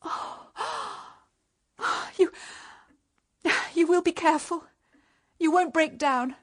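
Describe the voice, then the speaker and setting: An actress with a British accent playing a desperate wife, urgent and pleading, in a radio drama. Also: female voice, very high-pitched, takes it slowly. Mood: urgent, conspiratorial. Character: desperate, pleading unfaithful wife, manipulative older sister.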